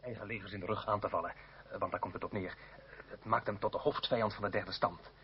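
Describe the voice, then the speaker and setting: A man's voice: lowish voice, fairly even intonation, quick pace, close to an everyday voice. An actor playing an earnest male politician in a radio drama, explaining and placating, at times hesitant. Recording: dull noisy old radio recording.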